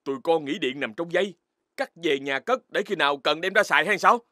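A man voicing an excitable, fussy grown-up in a children's cartoon, with an exclamatory delivery. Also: fairly high pitch, fairly quick, no room sound, studio quality. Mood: agitated. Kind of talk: Vietnamese children's cartoon dubbing.

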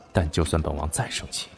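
A man playing a scheming, world-weary young prince in a Chinese period audio drama, speaking in a theatrical, drawn-out way with sighs. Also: extremely low pitch, background noise, exaggerated voice. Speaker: lead voice actor in an audio drama. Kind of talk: period audio drama acting with inner monologue.

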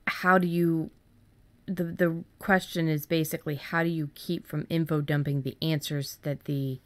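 A woman's voice: sits low, no echo. A woman co-hosting a podcast, talking in a casual, conversational style. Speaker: podcast co-host.

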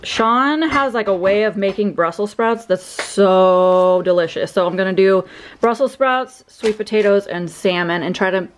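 Chatty young mom showing groceries. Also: female voice, mid-range voice, moderate pace, slight background noise. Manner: chatty, upbeat, rambling with fillers. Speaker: vlogger. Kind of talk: casual grocery haul chat. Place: home kitchen.

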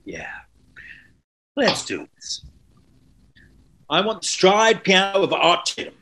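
Intimidating older music instructor, acted by a man, condescending, forceful tone, swinging pitch. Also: huge pitch swings, takes it slowly, slight background noise, heavily acted. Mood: challenging. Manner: condescending, goading. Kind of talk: script table read acting. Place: bright room at home, on video call. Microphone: computer mic on video call.

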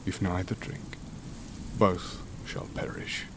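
A deep, solemn, gentle alien creature. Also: male voice, little pitch movement, noisy background. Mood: gentle, grave. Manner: formal, measured, stilted speech. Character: sentient imprisoned alien monster, gentle, solemn, formal, philosophical. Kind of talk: audio drama acting.

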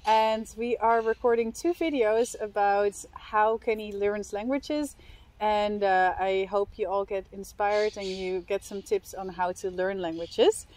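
A woman's voice: medium pitch, unhurried, darkish tone. A woman in her thirties, a native Dutch speaker, hosting a casual interview in a friendly way with many affirming murmurs, seated at an outdoor picnic table. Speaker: host.

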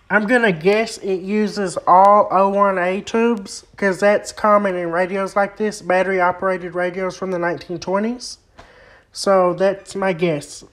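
A hobbyist host giving a hands-on repair demonstration, explaining each step in a plain way. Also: medium pitch. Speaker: vintage radio repair hobbyist and video host.

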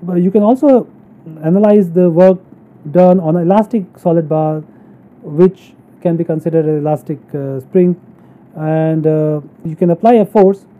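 A man giving a halting, step-by-step technical lecture as an engineering teacher, explaining material from slides. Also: fairly high pitch. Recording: narrow phone-like sound.

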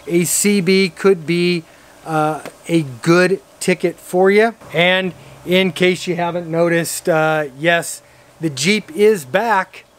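Casual vlog reviewer: American male, 50s, slightly high-pitched, talking to camera. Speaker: radio hobbyist vlogger and reviewer. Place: outdoors in a driveway by a vehicle, some parts inside a car.

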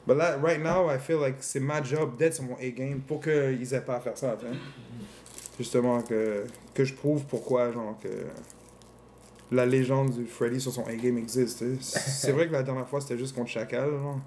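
A man's voice: medium pitch, fairly even intonation, moderate pace, darkish tone, a little hiss or hum. A man, a battle rapper, talking in a casual chat in French mixed with English phrases, rambling and self-mocking, recorded at a table microphone in a small room.

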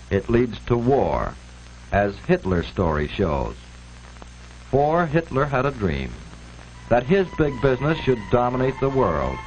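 A man's voice: medium pitch, a little mellow. A man delivering scripted 1940s-style documentary narration in a formal, emphatic way. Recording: dull, noisy old archival film soundtrack.

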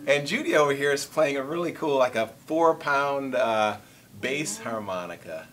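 A man's voice: medium pitch, normal speed, a bit bright. Friendly man explaining harmonicas. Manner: friendly, explanatory, counts out rhythms. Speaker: host and harmonica player. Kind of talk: casual interview and demonstration. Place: convention room with dark curtains.

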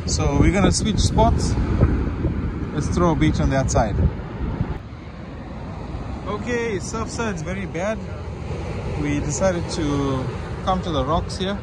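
Guy talking slow and calm on the beach, high voice, waves crashing loud behind him. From South Africa. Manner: calm, unhurried running commentary. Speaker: fishing vlogger. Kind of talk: casual narration while fishing. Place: outdoors on a beach by the surf at dawn. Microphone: handheld camera. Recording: loud surf noise. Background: loud crashing surf.

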